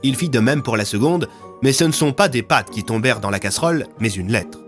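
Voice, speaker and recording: A man's voice: medium pitch, lively intonation, fairly quick. A man narrating scripted, dramatic audio fiction as a storyteller, with music underneath.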